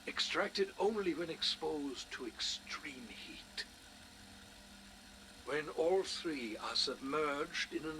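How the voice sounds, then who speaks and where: Slow measured male researcher. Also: a little high, noisy background. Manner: measured, scholarly. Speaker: researcher recording a log. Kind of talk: scripted game audio log narration.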